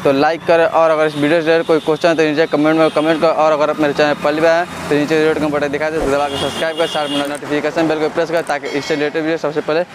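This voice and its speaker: A young man vlogging at an outdoor used-car lot on a lapel mic, giving a brisk, repetitive sales-style rundown. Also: a little high, flat delivery. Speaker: vlogger showing used cars. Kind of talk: casual on-camera presentation. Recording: outdoor traffic noise behind.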